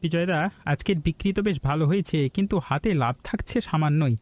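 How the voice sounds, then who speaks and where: A man's voice: on the high side, quick pace, darkish tone, no room sound, lightly acted. A man playing an eager, ambitious village business partner in a cartoon story, persuasive and respectful. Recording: background music throughout.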